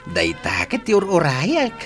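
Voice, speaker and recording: Man playing elderly Filipino father, audio drama, grateful, devout, very lively intonation. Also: a little high, unhurried, soft background music. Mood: joyful.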